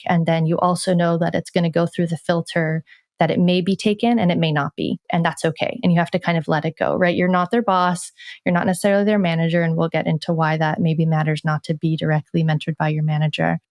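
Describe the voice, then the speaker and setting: A woman's voice: fairly low pitch, darkish tone, no room sound, clean studio sound. A woman explaining fluently as a guest, often adding tag questions. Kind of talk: explanatory interview answer.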